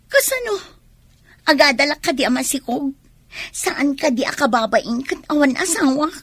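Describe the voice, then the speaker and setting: An actress in an Ilocano radio drama playing an anxious, scheming young student mistress who is pregnant. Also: female voice, high-pitched, animated, moderate pace, fairly bright tone, no room sound. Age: young adult.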